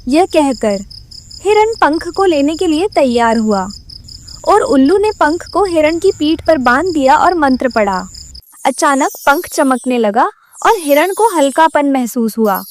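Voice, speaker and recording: A woman's voice: sits high, slight background noise. A woman narrating a scripted children's moral story as a storyteller.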